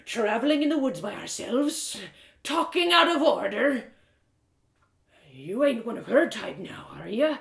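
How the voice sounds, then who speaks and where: A woman's voice: on the high side, sing-song, a little slow, gravelly, fairly bright tone, full character voice. A woman voicing an angry, bitter old male blacksmith with a menacing, threatening manner and rustic speech, as part of a storybook reading. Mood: suspicious, menacing. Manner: bellowing, threatening, rustic dialect. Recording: roomy echoing sound.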